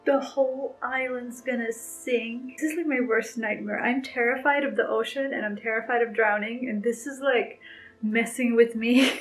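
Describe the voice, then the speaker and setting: Young woman rambling about a book, kinda high voice, soft music under it. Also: fairly even intonation, normal speed, low-quality recording. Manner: emotional, gushing, lots of "like". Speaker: book vlogger. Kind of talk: casual read-along vlog.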